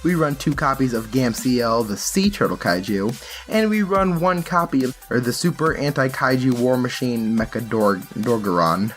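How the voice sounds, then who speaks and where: A man's voice: medium pitch, animated, moderate pace, fairly bright tone. Casual man explaining over music. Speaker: card game channel host. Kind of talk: casual unscripted gameplay and deck commentary.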